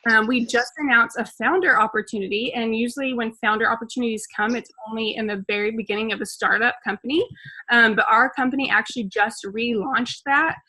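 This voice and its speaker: Bright, eager woman making a pitch. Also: steady tone, a little fast, rough recording. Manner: enthusiastic, persuasive, many fillers. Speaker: host. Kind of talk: casual sales pitch on a video call. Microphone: video call.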